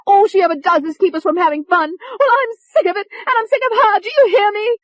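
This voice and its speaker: High-pitched, brash young Englishwoman. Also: very animated, moderate pace, no room sound. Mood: furious, defiant. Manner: sharp, taunting, forceful. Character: brash, defiant, contemptuous young woman alter from London. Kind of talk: dramatized audiobook dialogue.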